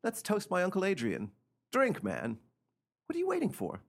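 Man acting a bossy, high-pitched, excitable invalid. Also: moderate pace, gravelly, full character voice. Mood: defiant, tense. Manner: bossy, impatient. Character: frightened, imperious invalid man, irritable and defiant. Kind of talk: radio play acting.

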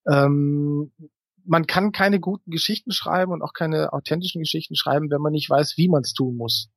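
A middle-aged man speaks as an interview guest. His voice sits a little high and has a husky grain, recorded close and dry. He explains his points conversationally, pausing often to search for words. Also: no echo.

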